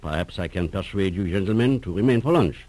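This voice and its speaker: An actor playing a suave elderly professor in a 1940s radio drama, over-polite and faintly mocking, with hesitant drawn-out phrases. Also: male voice, low-pitched, animated, a little mellow. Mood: sly mockery.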